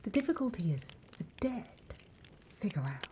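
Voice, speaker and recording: A British woman performing an ASMR roleplay as a class instructor, mostly whispering in a breathy, gentle, conspiratorial way. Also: mid-range voice, very animated, normal speed, a little hiss or hum. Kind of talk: ASMR roleplay, whispered and soft-spoken lesson.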